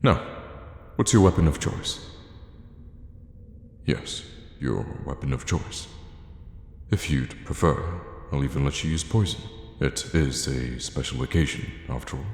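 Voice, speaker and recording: An extremely deep voice rings in a roomy, echoing space. It belongs to a scheming royal guard, acted by a man, fiercely loyal to his queen and quick to condescend. He speaks in a hushed, theatrical way with deliberate pauses, in the intimate manner of a fantasy ASMR roleplay. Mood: coolly conspiratorial. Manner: theatrical, hushed, measured, with sighs and pointed pauses.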